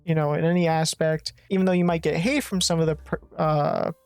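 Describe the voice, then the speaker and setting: A young adult YouTuber with a slightly raspy voice, rambling through a casual, profane rant and often correcting themselves mid-sentence. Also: mid-range voice, steady tone, brisk, darkish tone, no room sound, faint music behind. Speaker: faceless gaming YouTuber.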